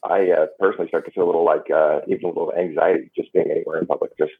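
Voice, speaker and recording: A man's voice: deep voice, level tone, racing, vocal fry, breathy edge. A man joining a podcast as a guest, talking in a hesitant, unsure way over a remote call. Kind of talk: casual chat. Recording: tinny phone-like remote call.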